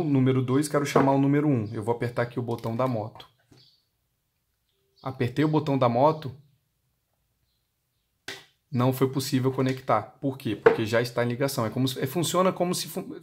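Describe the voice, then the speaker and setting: A man with a Brazilian accent demonstrating a product step by step, explaining by asking and answering his own questions. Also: medium pitch. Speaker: product demonstrator for motorcycle intercom seller.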